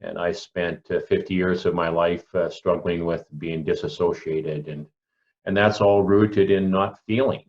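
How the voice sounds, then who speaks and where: Man in his 60s, Canadian accent, low-pitched, reflective, deliberate, personal testimony, dull recording. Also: steady tone. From rural Alberta, Canada. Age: early 60s. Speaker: panelist, local pastor.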